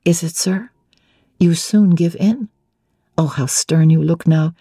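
A woman's voice: fairly low pitch, slow pace, warm tone, breathy edge, no room sound, studio quality, full character voice. A woman playing a spirited, frank young bride-to-be with a playful, challenging manner in a dramatic novel reading.

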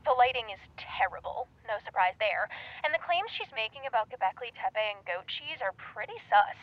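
An actress playing a laid-back, matter-of-fact, deadpan flat-earther sidekick in an audio comedy. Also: female voice, a little high, normal speed, lo-fi sound, natural voice.

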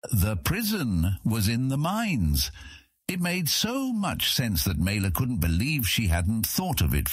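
Very deep, husky male narrator. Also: dry recording, clean studio sound. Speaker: audiobook narrator. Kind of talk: dramatic scripted fantasy narration.

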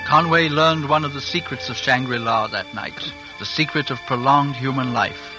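Calm male radio drama narrator. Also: medium pitch, normal speed, over music, low-quality recording. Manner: calm, storytelling. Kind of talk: scripted narration. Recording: old radio broadcast with score.

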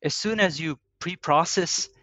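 A man's voice: fairly high pitch, a little slow, sharp tone, a little hiss or hum. A man whose first language is Russian, a security researcher answering interview questions hesitantly. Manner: hesitant, with fillers and restarts in a second language. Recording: dry, dull lo-fi sound.

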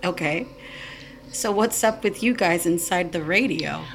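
A woman's voice: fairly low pitch, unhurried, slight background noise, low-quality recording. A woman playing a curious, down-to-earth newcomer in a naturalistic audio drama, speaking casually and frankly.

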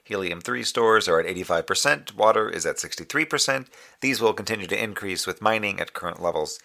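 A man playing a formal, dryly wry moonbase communications officer delivering measured official reports in a sci-fi audio drama. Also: fairly low pitch, lively intonation, gravelly, sharp tone, exaggerated voice.

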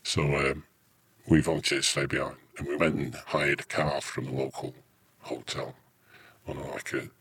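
British man, very low voice, heavily husky, earthy rambling storyteller, casual veteran interview. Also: level tone, moderate pace, a bit bright. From United Kingdom. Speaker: army veteran being interviewed.

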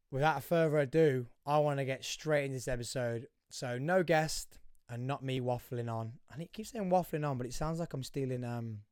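A hoarse man with a husky voice, hosting his own podcast in a chatty, rambling solo intro. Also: medium pitch, a little mellow, no echo, clean studio sound. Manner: chatty, rambling, addresses listeners as guys. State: hoarse, voice fading. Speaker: podcast host.

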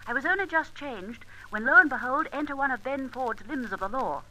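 Poised female accomplice, bright high voice. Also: lively intonation, a little fast, low-quality recording. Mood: cool, pleased. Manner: crisp, poised, understated. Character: composed, resourceful female accomplice, dryly amused. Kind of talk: old radio drama acting.

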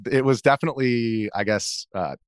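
A man's voice: medium pitch, normal speed, no room sound, studio quality. An American man, an interview guest and journalist, recounting his experiences in a casual conversational style.

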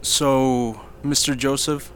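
An actor playing a quiet farmhand who keeps watch near the orchard, speaking softly and hesitantly in an audio drama. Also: male voice, mid-range voice, fairly even intonation, slow, clean tone, natural voice.

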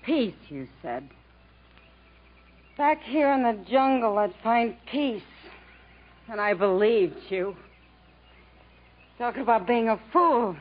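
High-voiced, desperate, embittered woman. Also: lively intonation, slow pace, long pauses. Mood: bitter reproach. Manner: melodramatic, pleading, accusing. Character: desperate, embittered woman, dependent lover stranded in the jungle. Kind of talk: 1950s radio drama acting. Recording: dull, noisy 1950s radio recording.

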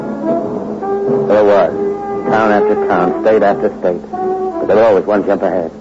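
A bitter young fugitive out for revenge, low slangy tough talk, muffled old radio w/ music. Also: male voice, huge pitch swings, measured pace. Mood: bitter, brooding. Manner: hard-boiled, slangy gangster talk. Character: bitter young fugitive convict hunting his wife for revenge. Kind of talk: old-time radio crime drama acting with narration.

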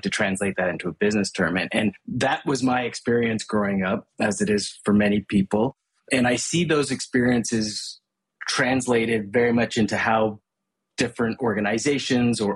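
A middle-aged man from Hong Kong speaks as a guest in a podcast interview. His low voice has a husky edge, and he explains his thinking patiently, often restarting a phrase. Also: rough recording. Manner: thoughtful, explanatory, with frequent restarts. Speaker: guest, brand strategist.

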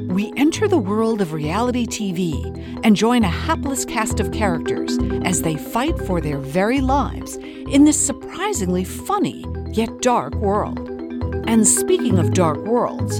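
Woman podcast presenter, scripted intro, tongue-in-cheek, very lively intonation, loud music bed. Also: mid-range voice.

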